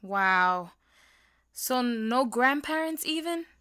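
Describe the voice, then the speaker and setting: A teenage Puerto Rican girl in an audio drama, with a high, bright voice and a dry, close sound. She talks bluntly and in slang, like a kid stuck in detention. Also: animated, slow, smooth, dry recording, heavily acted. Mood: blunt, sympathetic. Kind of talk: naturalistic audio drama acting.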